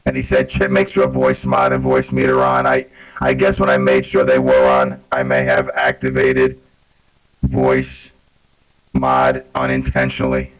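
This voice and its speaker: A man's voice: rumbling low, monotone, measured pace, low-quality recording. A man hosting a casual live radio show in a rambling, flustered, informal way, his voice run through a robot voice changer.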